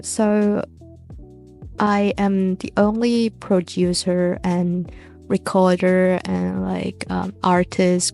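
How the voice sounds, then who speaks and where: A young woman from Hong Kong, a native Cantonese speaker, hosting an educational podcast in a casual, unhurried way with frequent ums and uhs. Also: lowish voice, vocal fry, warm tone. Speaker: podcast host.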